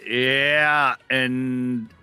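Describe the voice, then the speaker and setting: A man casting an esports match live, with excitable, exclamatory commentary on the play as it unfolds. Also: medium pitch, very slow, clean tone, dry recording. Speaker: esports caster.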